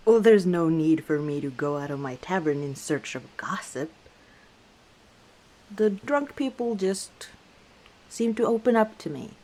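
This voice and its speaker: Female orc innkeeper for ASMR roleplay, acted by a woman; dark, relaxed tone, leisurely delivery, playful and easygoing. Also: deep voice. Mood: chatty, confiding. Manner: unhurried, playful teasing with frequent chuckles. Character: easygoing, teasing female orc tavern owner. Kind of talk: ASMR roleplay, one side of a conversation.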